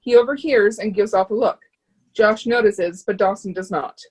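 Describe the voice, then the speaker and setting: A woman's voice: medium pitch, normal speed, a little mellow, breathy edge, low-quality recording. A woman reading screenplay stage directions in a matter-of-fact, scripted narrating manner.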